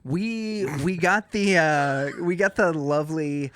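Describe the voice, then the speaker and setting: A man's voice: a little high, slow pace, a bit bright, smooth, no echo, clean studio sound. A man hosting a podcast, chatting casually as he introduces and interviews a guest. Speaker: podcast host.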